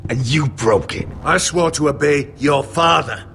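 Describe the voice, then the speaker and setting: A man playing an aggrieved, loyal castle steward in a dramatic fantasy game, speaking earnestly and emphatically with a gravelly voice. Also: fairly high pitch, a little slow. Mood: bitter, regretful. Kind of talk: dramatic video game acting. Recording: music under the voice.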